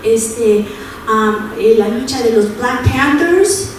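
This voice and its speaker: A woman presents a workshop in a loose, chatty way, full of fillers and tag questions. Her fairly high voice is caught from far off and rings around a very echoey room. Steady noise sits underneath. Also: takes it slowly. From El Salvador, raised in San Francisco. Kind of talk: informal academic workshop talk.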